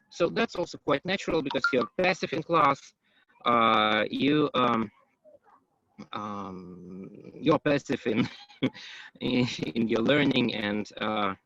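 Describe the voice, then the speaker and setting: A man giving a conference talk as an English teacher, pausing often with ums and uhs. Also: mid-range voice, a bit bright, no echo. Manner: hesitant, many ums and uhs. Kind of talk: conference presentation. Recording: dull, muffled, dry.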